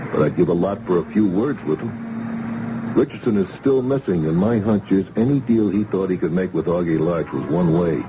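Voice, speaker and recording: Dogged cop, very low with a lively pitch, terse, hissy old radio play. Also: male voice. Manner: terse, probing, matter-of-fact. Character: dogged male police detective sergeant. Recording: dull old radio broadcast with hiss.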